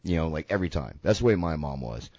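Very deep-voiced Southern man hosting radio. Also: lively intonation, quick pace, dark tone, no echo, highs cut off. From American South. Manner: joking, rambling, laid-back. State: congested from sinuses. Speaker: radio show host. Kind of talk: casual radio talk show banter. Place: radio station studio.

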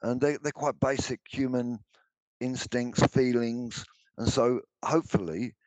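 An older man with a deep baritone voice, answering interview questions about his songs, hesitant with many restarts. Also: a little slow, a little mellow, dry recording, poor audio. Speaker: musician guest.

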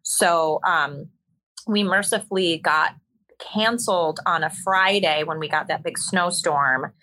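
Woman, lowish pitch, bright forceful tone, candid conversational storytelling, expressive, interview guest. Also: takes it slowly, low-quality recording. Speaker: interview guest, musical theater performer.

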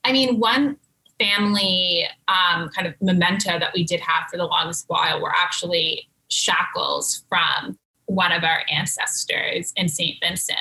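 Bright, articulate young woman guest. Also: fairly low pitch, a little slow, poor audio. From Canada. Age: young adult. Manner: articulate and composed, speaks in full sentences. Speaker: guest, brand co-founder. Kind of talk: interview answers.

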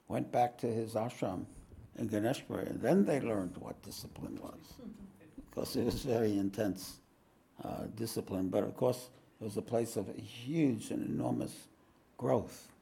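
A spiritual teacher with a croaky, hoarse voice giving a live satsang talk, speaking unhurriedly and plainly, often clearing his throat. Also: on the low side, mellow.

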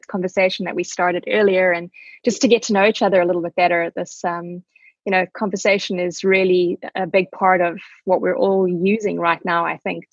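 A woman in her forties with a husky, slightly breathy voice, speaking graciously and reflectively as a podcast guest. The sound is dry and close, with no room echo. It has the dull, muffled quality of remote call audio. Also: fairly low pitch. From South Africa. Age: 40s. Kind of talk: conversational interview.

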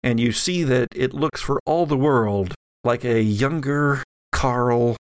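A man working as game master, improvising halting but vivid narration for a tabletop horror game on a podcast. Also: medium pitch, clear, resonant tone, no room sound.

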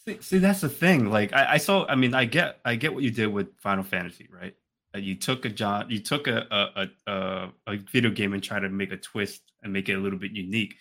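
A man with a fairly low but bright, forward voice co-hosts a casual podcast. He talks emphatically, circling back to repeat phrases and checking in as he goes. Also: a little fast. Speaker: podcast co-host.

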